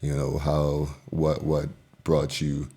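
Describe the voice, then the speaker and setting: A man hosting a casual podcast interview, speaking haltingly with many fillers and restarts. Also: extremely low pitch, monotone, gravelly, mellow, breathy edge. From Liberia. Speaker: podcast host.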